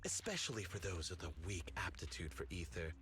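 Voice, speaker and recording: A man's voice: low voice, very animated, a little fast, fairly bright tone. An actor voicing a scheming, power-hungry henchman in a video game, mocking and theatrical with feigned deference. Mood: sly, gloating. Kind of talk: dramatic video game voice acting.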